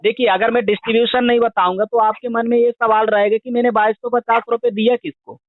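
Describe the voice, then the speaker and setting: Man, high voice, insistent explanatory sales patter, repetitive, narrow tinny phone line. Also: level tone, quick pace, no echo. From India. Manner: insistent, repetitive, step-by-step explaining, checks with "sir". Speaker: digital wallet scheme promoter making a sales call. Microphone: phone call.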